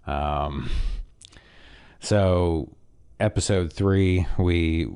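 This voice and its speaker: A man from Canada with a nasal voice, co-hosting a podcast in relaxed, unhurried casual chat on a podcast microphone. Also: low-pitched, smooth. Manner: relaxed, unhurried, many fillers. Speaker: podcast co-host.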